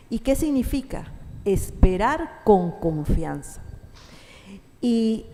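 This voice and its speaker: A woman addresses a parish gathering in a mellow, measured voice, pausing often and putting questions to the listeners. Her words ring with the long reverb of a church hall. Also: medium pitch. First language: Spanish. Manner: measured, thoughtful, with pauses and fillers; asks the audience questions. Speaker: parish speaker at a church talk. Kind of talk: explanatory talk to a parish audience. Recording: distant echoing church hall with faint noise.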